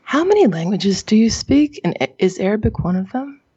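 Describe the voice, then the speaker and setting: A woman's voice: medium pitch, big pitch swings, brisk, warm tone, husky. A woman interviewer asking long questions and correcting herself as she goes. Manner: rambling questions with self-corrections. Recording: muffled lo-fi audio.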